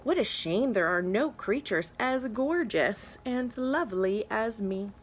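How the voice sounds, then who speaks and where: A woman's voice: fairly high pitch, animated, measured pace, a little hiss or hum. A woman voicing a vain, flirty female angelfish, preening and self-admiring, in a read-aloud storybook.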